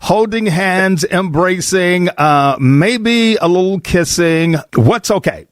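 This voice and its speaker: A man's voice: sits high, animated, slow pace. A man hosting a church roundtable, putting questions to guests in a conversational interview style.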